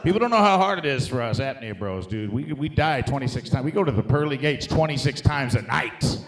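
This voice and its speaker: A man's voice: mid-range voice, animated, fairly quick. A middle-aged American man doing conversational, profane stand-up comedy, talking emphatically and directly to a live audience. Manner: conversational, profane, emphatic, addressing the crowd as dude and guys. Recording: echoey live comedy room.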